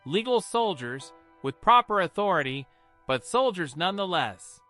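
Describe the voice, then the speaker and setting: A man's voice: high voice, sing-song, normal speed, sharp tone, faint music behind, voice pushed up, exaggerated voice. A man voicing a resolute senior policewoman in a dramatised story, with an authoritative, clipped manner. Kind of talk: dramatised folktale narration.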